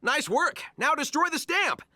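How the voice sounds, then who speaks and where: A man's voice: very high-pitched, big pitch swings, unhurried, pushed and bright, full character voice. A man voicing a breezy, incredulous young cartoon hedgehog hero in a casual, emphatic way. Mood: commanding. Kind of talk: cartoon comedy acting.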